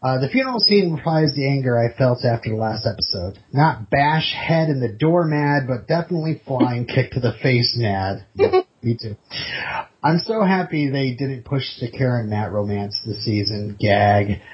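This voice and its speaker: Husky male podcast host, jokey reading. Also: medium pitch, animated, moderate pace. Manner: jokey, laughs while reading. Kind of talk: casual chat, reading listener email aloud. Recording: muffled lo-fi podcast audio.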